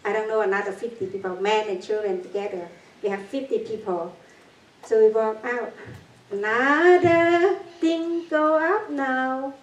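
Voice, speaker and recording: An older woman with a Cambodian accent speaks in halting, broken English, breaking into nervous laughs. Her voice sits a little high. The recording is distant and echoey, as if picked up from across a hall. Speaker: guest speaker. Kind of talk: personal testimony talk. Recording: echoey room, far from mic.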